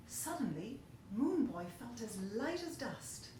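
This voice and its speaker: Woman children's story reader, unhurried and animated, with big swoops in pitch, recorded in a very reverberant room. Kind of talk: expressive children's story narration. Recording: very echoey room, faint hiss.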